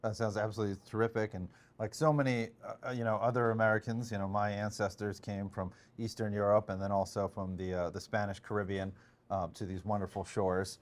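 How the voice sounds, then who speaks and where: A man's voice: lowish voice, level tone, brisk. A man hosting a political interview, hammy and jokey, stumbling over his words now and then. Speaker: political analyst and host. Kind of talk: casual interview.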